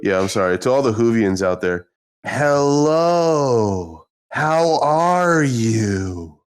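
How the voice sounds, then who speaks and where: A young man with a mid-pitched voice who talks slowly and takes his time. He chats on a casual podcast in a teasing, drawn-out manner. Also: very animated, clear, resonant tone. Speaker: podcast co-host. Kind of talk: casual chat.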